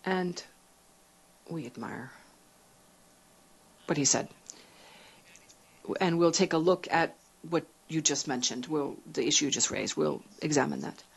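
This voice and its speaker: A woman's voice: low-pitched, unhurried, creaky, long pauses, breathy edge, rough recording. A woman chairing a formal meeting in a conference room, brief and courteous.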